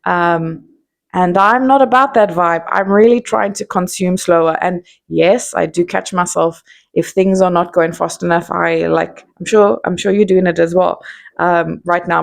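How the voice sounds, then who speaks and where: Woman, 30s, South African accent, unscripted vlog monologue, low-pitched, gravelly fry, meandering and candid. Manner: rambling, many ums and restarts, candid. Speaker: vlogger. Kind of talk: unscripted reflective monologue to camera.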